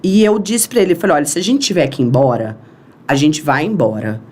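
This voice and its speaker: A woman's voice: low voice, fairly quick. A woman from Brazil telling a long story as a podcast guest, acting out the voices in it. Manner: animated, acts out quoted dialogue. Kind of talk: storytelling in an interview.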